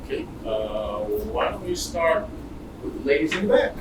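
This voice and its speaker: A man's voice: fairly high pitch, sing-song, moderate pace. A man from Brooklyn hosting an event, casually asking a room of people to introduce themselves. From Brooklyn, New York. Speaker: co-host of a panel event. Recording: noisy echoey room, distant mic.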